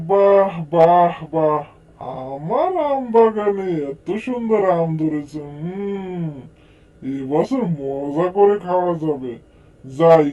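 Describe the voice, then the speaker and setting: A man's voice: fairly high pitch, warm tone. An actor playing a greedy, boastful male village landlord in a cartoon, full of exclamations. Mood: gloating delight. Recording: dull lo-fi sound.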